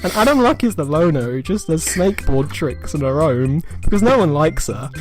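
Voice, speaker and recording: A man with a slightly high voice reads amateur fiction aloud over music. He delivers it as a mock-serious dramatic reading, stretching certain phrases for effect and tripping over others. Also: sing-song, normal speed. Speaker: reader of amateur fan fiction. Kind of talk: comic dramatic reading of a written story.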